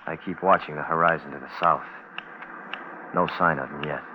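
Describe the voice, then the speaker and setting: An actor playing a terse Navy photographer in a radio suspense drama, with a clipped, grave, measured delivery. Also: male voice, extremely low pitch, fairly even intonation. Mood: grave, tense. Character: terse Navy photographer man stranded alone on a bomb-test island. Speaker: lead actor and narrator. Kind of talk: radio suspense drama, first-person log narration. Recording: muffled, noisy vintage radio broadcast recording.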